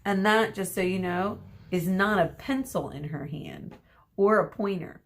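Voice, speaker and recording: A woman reading a children's story aloud expressively as a teacher, pausing to explain words and ask questions. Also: medium pitch.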